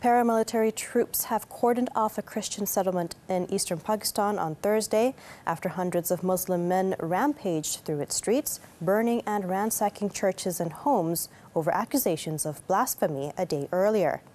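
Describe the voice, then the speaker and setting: A woman's voice: medium pitch, normal speed, mellow. A woman reading scripted news as an anchor.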